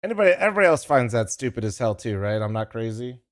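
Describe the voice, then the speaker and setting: A man's voice: mid-range voice, sing-song, quick pace. A young man streaming live, chatting casually with his viewers and swearing as he teases a friend. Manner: profane, teasing, rambling. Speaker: streamer co-streaming an esports match. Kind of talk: casual livestream chat.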